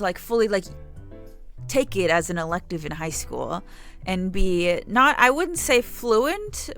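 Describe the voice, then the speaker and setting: Bright-voiced young woman streamer. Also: lowish voice, smooth. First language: Samayach. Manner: rambling, chatty, swears casually. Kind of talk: casual gaming stream chat.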